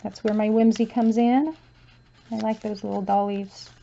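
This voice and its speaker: Woman, crafter, casual, hoarse, gravelly, dull lo-fi sound. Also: mid-range voice, normal speed. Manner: soft-spoken, relaxed, with frequent um and uh fillers. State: losing her voice, clearing her throat. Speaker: crafter showing her journal. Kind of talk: casual flip-through commentary.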